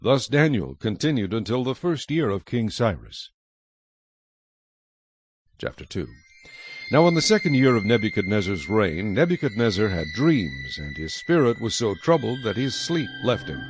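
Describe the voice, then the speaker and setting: Low-voiced solemn man narrating scripture. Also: very animated, normal speed. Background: faint music.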